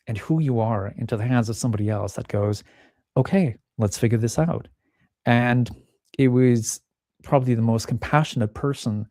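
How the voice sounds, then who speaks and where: A man's voice: medium pitch, dark tone, no room sound, clean studio sound. A middle-aged man from the Czech Republic speaking as a podcast guest, thoughtful and candid, often restarting phrases and acting out remembered lines. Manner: thoughtful, many restarts, acts out remembered quotes. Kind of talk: reflective interview talk.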